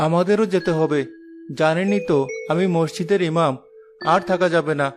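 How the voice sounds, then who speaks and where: A thoughtful young imam husband from a cartoon played by a man, speaking. He is caring and reassuring. Music runs clearly behind his voice. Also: fairly high pitch, fairly even intonation, moderate pace, natural voice. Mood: cheerful. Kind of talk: cartoon dialogue dub.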